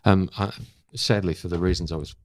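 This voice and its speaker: An older man speaking as a podcast guest, in a halting, thoughtful and polite conversational way. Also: deep voice, fairly even intonation, dry recording. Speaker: podcast guest, former journalist and actor. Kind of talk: casual conversational interview.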